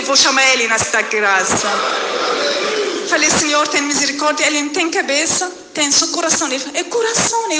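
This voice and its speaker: A middle-aged woman from Syria, giving a fervent church testimony in halting phrasing and calling out to the congregation. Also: high-pitched. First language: Arabic. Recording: echoey hall with background noise.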